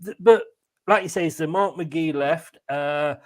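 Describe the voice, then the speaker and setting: A man's voice: on the high side, measured pace, darkish tone. A middle-aged man with an English accent hosting a casual interview, chatty and rambling in his questions. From England. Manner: chatty, rambling, chuckles between phrases. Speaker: fan channel host, interviewer.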